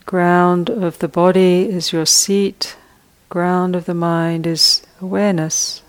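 Woman, meditation teacher, soft guided instructions, long pauses, very flat delivery. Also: lowish voice.

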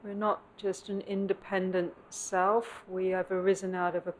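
A woman meditation teacher leading a guided meditation in contemplative, measured phrases with long pauses, recorded live at a meditation center. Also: mid-range voice, flat delivery. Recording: clear background noise, live room ambience.

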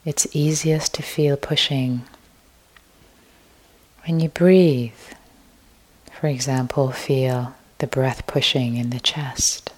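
Slow, very low-voiced woman meditation teacher. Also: fairly even intonation. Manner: slow, soft, gentle guidance with long pauses; drifts into an unvoiced whisper at times. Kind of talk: guided meditation.

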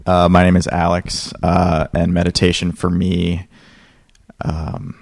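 A man's voice: low-pitched, level tone, takes it slowly, vocal fry, no room sound. A man earnestly sharing what meditation means to him in a group, rambling and correcting himself.

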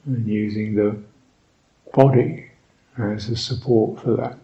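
A man guiding a meditation as a Buddhist teacher, speaking reflectively in short, halting phrases with long pauses. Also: fairly low pitch, steady tone, highs cut off. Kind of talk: guided meditation, unscripted and reflective.

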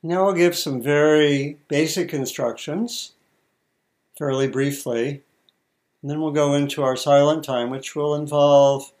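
A man teaching meditation, giving guided instructions in short, measured phrases with deliberate pauses between them. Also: mid-range voice, a little mellow.

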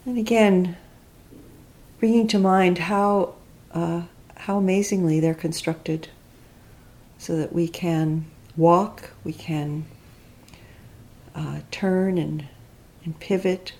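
A woman teacher leading a guided body scan meditation, speaking in gentle, reflective, unhurried instructions with long pauses. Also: fairly low pitch, steady tone.

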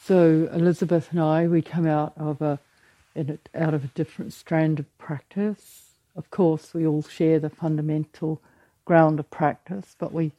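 Older lady teaching a retreat, mellow voice, dry close sound, pauses and restarts a lot. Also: low-pitched, steady tone, no room sound, poor audio. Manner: halting and reflective, with frequent restarts. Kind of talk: dharma talk.

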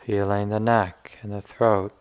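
Slow, even-toned man guiding meditation. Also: on the low side, smooth, muffled recording. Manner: gentle, unhurried phrasing with long pauses. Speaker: meditation teacher. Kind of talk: guided meditation instruction.

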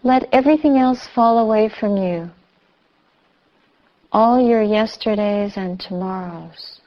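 A woman meditation teacher giving guided instruction in a measured, soothing way, with long pauses between phrases. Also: medium pitch. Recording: slightly dull, muffled recording.

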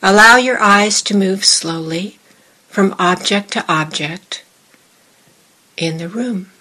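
A woman meditation teacher leading a guided meditation, giving measured instructions in short phrases with long pauses. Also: medium pitch, bright, forceful tone, low-quality recording.

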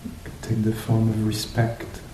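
Male meditation guide, unhurried and hesitant, lowish pitch, very slow delivery, recorded in a roomy space with faint rumbling noise. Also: completely flat delivery, dark tone. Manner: hesitant, searching, pausing between short phrases. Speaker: meditation teacher leading a group. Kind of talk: guided meditation talk, unscripted and reflective.

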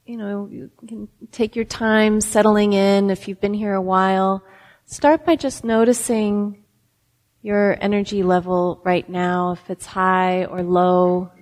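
Female meditation teacher, slow gentle guidance with long pauses, echoing hall acoustic. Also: mid-range voice. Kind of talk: guided meditation. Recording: reverberant hall.

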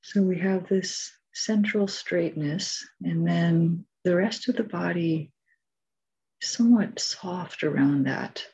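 A woman leading a guided meditation as its teacher, giving gentle, measured instructions with long pauses. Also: lowish voice, breathy edge, low-quality recording.

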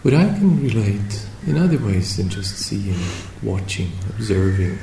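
A man leading a guided meditation as a teacher, speaking reflectively in short phrases with long pauses between them. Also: fairly low pitch, big pitch swings, creaky, warm tone. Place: indoor room at a meditation retreat. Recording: roomy echo with faint background noise.

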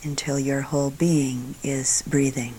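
Woman leading a guided meditation, really slow n calm, long pauses, soft voice sometimes fading almost to a whisper. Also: a bass voice, slight background noise, rough recording. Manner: calm, deliberate, long pauses between short phrases. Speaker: meditation teacher.

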